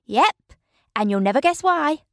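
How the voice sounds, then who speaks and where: A woman's voice: high voice, expressive, measured pace, a bit bright, dry recording, clean studio sound, voice pushed up, full character voice. A woman voicing a teasing, gossipy teenage girl. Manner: chatty, knowing, teasing.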